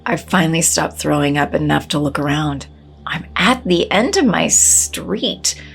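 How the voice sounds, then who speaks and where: Shaken, slow-spoken wife. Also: female voice, deep voice, lively intonation, gravelly, slightly rough, soft background music, exaggerated voice. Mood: shaken, fearful. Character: fearful, shaken wife and schoolteacher. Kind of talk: audio drama narration and acting.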